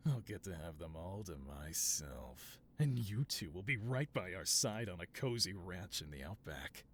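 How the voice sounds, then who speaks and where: A male voice actor playing an affable supervillain scientist boss in a scripted audio roleplay, talking in a doting, theatrical way. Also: medium pitch. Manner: doting, theatrical, softly reassuring then grandiose. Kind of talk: scripted audio roleplay, one-sided conversation.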